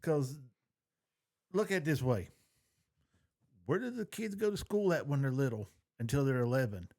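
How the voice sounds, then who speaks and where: A man's voice: medium pitch, animated, normal speed, creaky, a little mellow. A man chatting casually as a podcast co-host, opinionated and informal, with the odd swear word. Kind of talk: casual fan discussion.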